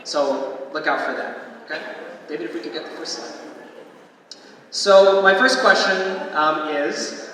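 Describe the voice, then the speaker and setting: A man's voice: on the high side, a little slow, long pauses, noisy background. A young man moderating a panel in a big hall, polite and organized as he lays out the format. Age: young adult. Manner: organized and polite, giving instructions. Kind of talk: hosting a panel. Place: large conference hall. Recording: echoey hall from far off.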